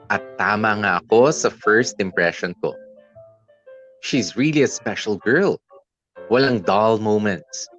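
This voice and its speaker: A man's voice: mid-range voice, a bit bright, highs cut off. A man acting a young boyfriend who fondly narrates his own love story, reflective and conversational, with a Filipino accent. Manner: reflective, conversational, mixes English and Tagalog. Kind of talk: first-person scripted story narration.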